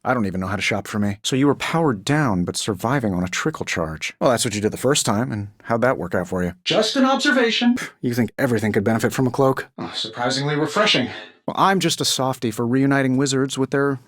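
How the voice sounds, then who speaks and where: A man's voice: medium pitch, expressive, fast talker, a bit bright, no room sound, studio quality. A male actor playing a cocky billionaire inventor superhero in powered armor for a video game, glib, sarcastic and self-assured. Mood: glib, teasing.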